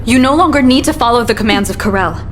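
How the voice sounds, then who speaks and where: Actress as a calm formal woman, slightly high voice, music under it, game cutscene. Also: fast, close to an everyday voice. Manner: composed, formal diction. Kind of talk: video game cutscene acting. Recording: game mix over music.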